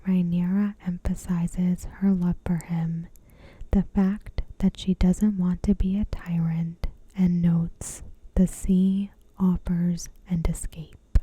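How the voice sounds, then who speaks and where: Whispering woman, slow and dark-toned ASMR. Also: on the low side, little pitch movement, low-quality recording. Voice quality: soft, breathy whisper. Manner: gentle, measured, deliberate. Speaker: video host. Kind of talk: ASMR episode commentary.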